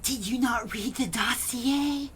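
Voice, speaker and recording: A woman voices a wisecracking but nervous trainee man for an audio drama. The pace is very slow, the manner wry and hesitant, the background faintly noisy. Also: on the high side. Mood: anxious. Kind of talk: naturalistic audio drama acting.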